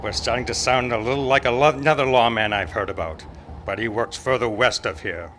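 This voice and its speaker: A man's voice: medium pitch, a little fast, fairly bright tone, a little hiss or hum, close to an everyday voice. A man playing a watchful, lonely Old West marshal in an old-time radio western parody. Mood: commanding, puzzled. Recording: club stage performance with faint music.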